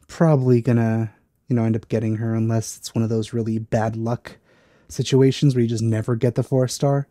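A man's voice: medium pitch, warm tone, no room sound. A man in his thirties giving casual reaction commentary in a hesitant, rambling way, recorded close on a headset mic. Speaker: YouTube reaction host. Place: indoor room, close to the mic.

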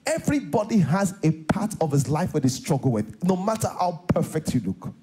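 A middle-aged Nigerian pastor preaches from a big church stage, his voice pitched fairly high yet warm and mellow in tone. He hammers home phrases by repeating them and tosses questions out to the crowd for a reply, heard through a close headset mic. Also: very animated, normal speed. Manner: repetitive, call-and-response preaching. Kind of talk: sermon.

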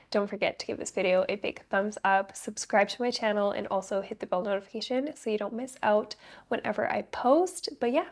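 Young female beauty creator, casual and candid, slightly stuffy nose, studio setup. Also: medium pitch. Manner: upbeat, chatty and candid. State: congested from a cold. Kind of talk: casual makeup tutorial chat. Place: indoor studio, plain backdrop.

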